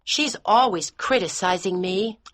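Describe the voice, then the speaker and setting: A man's voice: high voice, a little slow, fairly bright tone, voice pushed up. A man voicing a small, bold, blunt American boy in a storybook reading. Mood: blunt, eager.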